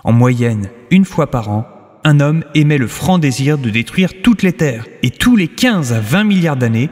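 A man's voice: medium pitch, lively intonation, moderate pace, darkish tone, big-room sound, close to an everyday voice. A man playing a weary, grumbling narrator of cosmic lore in a science fiction audio drama, lecturing and dropping into colloquial asides. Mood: didactic. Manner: didactic, with peevish colloquial asides. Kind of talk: mock-documentary narration in an audio sci-fi series.

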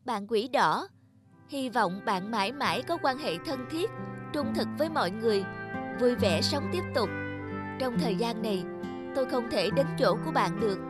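Loyal cartoon demon pal: lanky, selfless, theatrical delivery, prominent music behind. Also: male voice, very high-pitched, expressive, moderate pace. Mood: tender farewell. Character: loyal self-sacrificing lanky blue demon friend, male. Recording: music under the dialogue.

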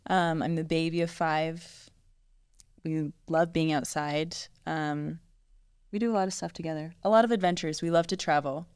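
A young woman from Virginia, a podcast guest, cheerful and relaxed with some fillers, on a studio mic. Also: on the low side, clean tone, no echo. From Virginia, USA. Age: young adult. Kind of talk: casual interview. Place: small studio, indoors. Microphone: studio mic on boom arm.

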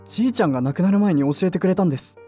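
A woman's voice: lowish voice, animated, racing, warm tone, faint music behind, close to an everyday voice. A woman playing an earnest, sentimental young man who grieves and clings to memories, polite and emotional, in an audio drama. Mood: earnest, wistful. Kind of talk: Japanese audio drama acting.

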